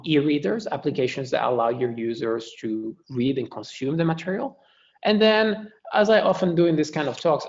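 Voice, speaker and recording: Guy from morocco teaching a webinar, gravelly voice, kinda muffled call sound. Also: mid-range voice. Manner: relaxed, frequent um fillers, friendly. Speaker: computer science lecturer presenting. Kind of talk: webinar presentation.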